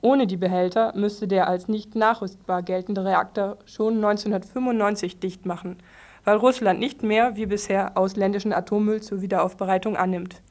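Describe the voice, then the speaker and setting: A woman's voice: mid-range voice, steady tone, moderate pace, a little mellow. A woman reading short news reports as a radio presenter, in a scripted news style.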